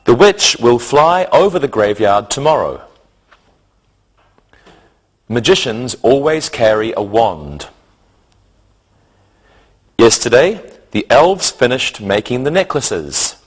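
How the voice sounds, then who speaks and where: A man teaching a scripted English lesson for beginners in a deliberate, instructional way. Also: medium pitch, big pitch swings, breathy edge.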